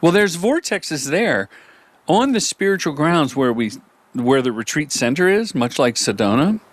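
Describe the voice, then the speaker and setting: Playful animated male podcast host. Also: fairly high pitch, unhurried. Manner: jokey, riffs playfully, animated. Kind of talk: casual interview chat.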